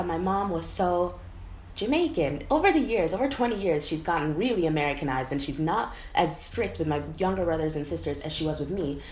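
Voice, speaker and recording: A young American woman talking casually to camera in a vlog, chatty and animated, with lots of "like" fillers. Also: medium pitch. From United States. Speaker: vlogger. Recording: echoey room, faint background noise.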